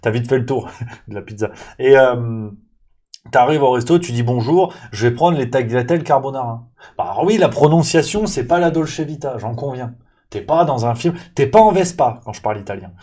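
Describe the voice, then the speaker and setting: Male show host, mid-pitched, comic rant delivery, mock-angry and animated. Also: moderate pace. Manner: parodies waiters with exaggerated Italian accent.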